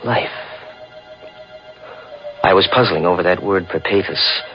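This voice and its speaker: A deep-voiced suspense narrator. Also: male voice, a little slow. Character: nervous young male chemistry teacher narrator. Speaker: narrator and lead in a radio drama. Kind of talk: 1940s radio acting. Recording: muffled old radio recording with music.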